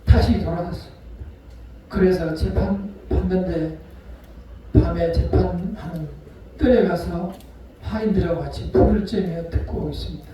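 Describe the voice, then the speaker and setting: A male pastor preaching a sermon, retelling a story with acted-out voices and sharp swings between loud and soft. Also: high-pitched, unhurried, a little hiss or hum. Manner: storytelling, acts out quoted voices. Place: church sanctuary. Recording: echoey hall from far off.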